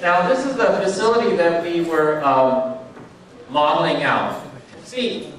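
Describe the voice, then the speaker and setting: A man's voice: on the high side, a little slow, a bit bright. A middle-aged man speaking informally to an audience at a charity event, chatty and checking in with listeners, heard through a PA speaker from a distance. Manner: conversational, checks in with audience, many fillers. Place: event hall. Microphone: PA speaker, recorded from a distance. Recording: very echoey hall, voice from far off.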